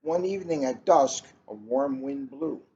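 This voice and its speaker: Slow-paced man reading aloud, muffled recording. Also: medium pitch, expressive, dark tone. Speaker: police officer reading a picture book aloud. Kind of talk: casual chat and storybook read-aloud. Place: coffee shop, indoors. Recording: muffled, noisy room recording.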